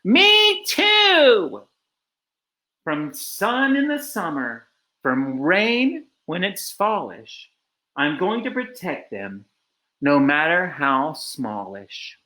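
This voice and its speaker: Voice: medium pitch, very animated, very slow, pushed and bright, heavily acted. A storyteller voicing a tiny, eager young kangaroo in a read-aloud picture book.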